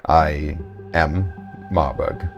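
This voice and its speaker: A man voicing a formal male dragonkin corporate chief with a put-on Eastern European accent, speaking slowly and in a measured way. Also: very deep voice, steady tone, dull audio, soft background music, heavily acted.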